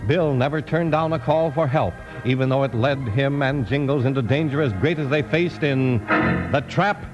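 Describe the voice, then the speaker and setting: A man announcing in scripted vintage radio style, with a folksy, animated salesman's delivery. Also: medium pitch, moderate pace, faint music behind. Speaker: announcer and cereal pitchman. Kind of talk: 1950s radio announcing, scripted narration and ads. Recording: dull vintage radio recording.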